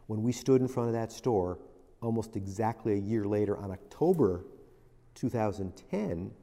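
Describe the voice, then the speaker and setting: A man's voice: on the low side, animated, normal speed, mellow, in a large hall. A middle-aged man telling stories as a guest in an interview, reflective and rambling. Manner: reflective, rambling, with many restarts. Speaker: interview guest, business veteran. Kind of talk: storytelling in a casual interview.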